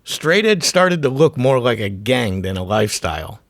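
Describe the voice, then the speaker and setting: A man's voice: medium pitch, very animated, normal speed, dry recording, clean studio sound. A man narrating a documentary from a script in short, emphatic, profane phrases.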